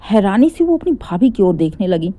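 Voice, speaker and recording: A woman's voice: mid-range voice, very animated, normal speed, dark tone, no echo. A woman narrating a family moral story in a conversational, dramatic storytelling manner.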